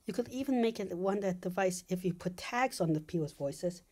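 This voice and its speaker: A woman's voice: on the low side, lively intonation, moderate pace, dark tone. A woman giving an informal explanatory monologue, thinking aloud as she explains technical ideas step by step. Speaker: video essayist.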